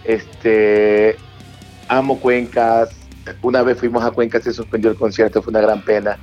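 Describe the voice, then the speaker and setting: Male interview guest, coastal Ecuadorian, lowish voice, colloquial chat, muffled phone sound. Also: flat delivery, a little slow. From Manabí, coastal Ecuador. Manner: informal, colloquial, repeats phrases. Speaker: guest, rock band singer and leader. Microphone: telephone call. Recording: dull phone line, music behind. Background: music.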